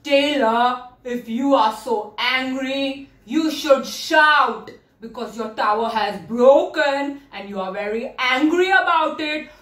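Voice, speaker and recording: A forceful storybook bear, voiced by a woman, loud and emphatic, draws out the words to urge a child on. The voice is slow and echoes in the room. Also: high-pitched, pitched below the performer's own voice. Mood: loud, urging. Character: forceful bear urging a child to vent anger. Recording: roomy, distant mic with music.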